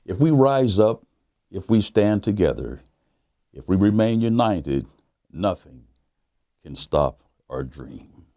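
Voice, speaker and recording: Deep, slow pastor reading a storybook. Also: male voice, sing-song, dark tone, no room sound. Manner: measured, emphatic, with dramatic pauses. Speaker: pastor reading a children's book. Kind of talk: storybook read-aloud for children.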